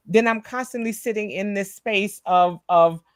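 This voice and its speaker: A soft-spoken woman co-hosting a spiritual live show, talking earnestly in casual chat and often restarting her phrases. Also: mid-range voice, moderate pace, no echo, clean studio sound. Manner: earnest, many small restarts.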